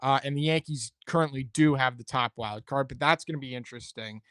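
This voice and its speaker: A man's voice: medium pitch, steady tone, fairly quick, fairly bright tone, smooth, no echo. A man hosting a sports talk show, chatting casually and thinking aloud as he weighs his opinions. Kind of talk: casual sports commentary chat.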